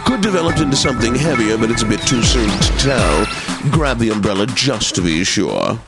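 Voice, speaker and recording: An actor as pompous radio DJ, loud music behind. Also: male voice, medium pitch, lively intonation, fairly quick, close to an everyday voice. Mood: dry announcing. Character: pompous, wry male classical station radio DJ. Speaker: classical radio DJ. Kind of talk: radio weather reports and station idents.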